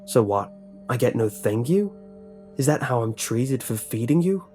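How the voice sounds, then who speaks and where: A man's voice: medium pitch, animated, moderate pace, mellow, faint music behind, natural voice. A man voicing a bitter, menacing middle-aged lunch lady with a heavy accent, who barks short orders in broken speech. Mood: resentful. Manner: resentful, threatening, barking short orders.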